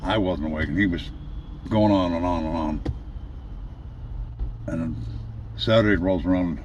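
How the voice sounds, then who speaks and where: A man giving a candid, casual personal update in a plain-spoken, halting, mildly profane way. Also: sits low, darkish tone. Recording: dull lo-fi audio, faint background noise.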